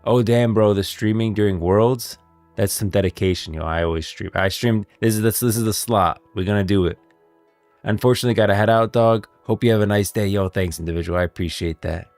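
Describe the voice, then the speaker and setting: A man's voice: fairly low pitch, brisk, darkish tone, no room sound, faint music behind. A man doing casual live-stream commentary, talking in an emphatic, repetitive, slangy way.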